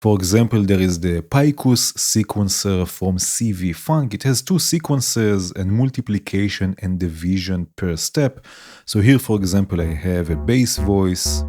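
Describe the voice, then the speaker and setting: A man hosting a modular synth tutorial, explaining modules in a relaxed way and often saying "right" and "let's say". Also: low-pitched, measured pace. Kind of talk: demonstrating modules while talking. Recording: music playing behind the voice.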